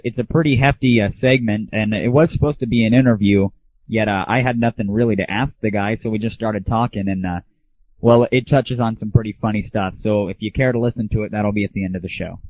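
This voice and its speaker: A man's voice: fairly low pitch, no room sound. A man hosting an internet audio show, giving casual, opinionated solo commentary with irreverent, crude humor. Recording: thin phone-like early internet audio.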